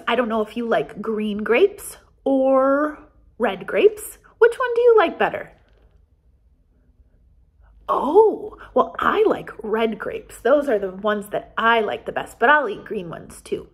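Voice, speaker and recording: An American woman in her forties leading a children's Bible storytime as pastor, speaking in simple, deliberate phrases meant for young children. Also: a little high, very animated. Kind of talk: children's storytime, reading and explaining. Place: small office, indoors.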